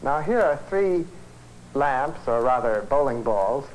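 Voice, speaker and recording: A man's voice: mid-range voice, animated, darkish tone. Man lecturing on a noisy 1950s film soundtrack. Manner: explanatory, conversational, measured. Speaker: physics professor, presenter. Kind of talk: educational film lecture with demonstrations. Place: film studio.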